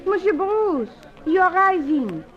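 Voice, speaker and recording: A coy, scatterbrained French temptress in an old radio serial. Her voice is high and swoops playfully through broken English, on a dull recording. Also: big pitch swings, takes it slowly, heavily acted. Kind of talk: old-time radio drama acting.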